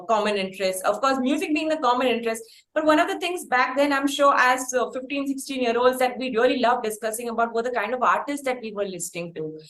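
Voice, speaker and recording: A woman podcast host with an Indian accent and a high voice. She interviews casually, rambling through long, wordy questions. The sound is roomy and echoey. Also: a bit bright.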